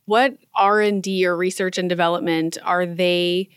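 A woman's voice: lowish voice, fairly even intonation, normal speed, smooth, no echo, studio quality. A woman hosting a podcast interview, asking curious questions in a light, friendly manner. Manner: curious, laughs easily. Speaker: podcast host.

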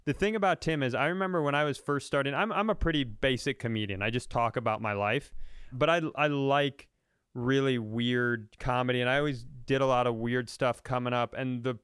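A man's voice: mid-range voice, brisk, fairly bright tone, clear, resonant tone, no echo, clean studio sound. An American man in his thirties co-hosting a comedy podcast, telling stories emphatically and with casual profanity.

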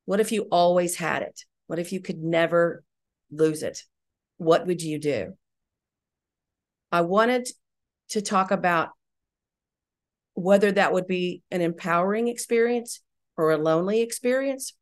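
A woman's voice: fairly low pitch, measured pace, pauses often. A middle-aged woman answering interview questions conversationally as a podcast guest, enthusiastic and emphatic, often repeating herself. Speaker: podcast guest, audio drama writer-director.

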